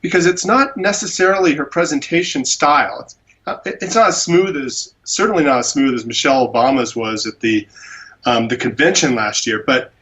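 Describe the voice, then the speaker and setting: A man's voice: medium pitch, a little fast, fairly bright tone. A man, an animal rights attorney, speaking as a guest in a phone interview and talking enthusiastically. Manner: enthusiastic, gushing about a speaker.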